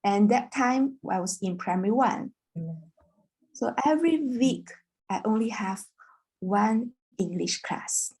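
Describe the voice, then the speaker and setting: A woman from China who is not an English speaker, teaching a children's English class online in a slow, patient, clear way. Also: fairly high pitch, animated, darkish tone, low-quality recording. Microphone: video call.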